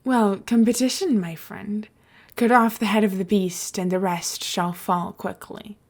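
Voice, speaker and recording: A woman's voice: medium pitch, steady tone, measured pace. A woman voicing a glib, scheming male mayor in an audiobook, with a nonchalant, playful and emphatic delivery. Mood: amused, smug.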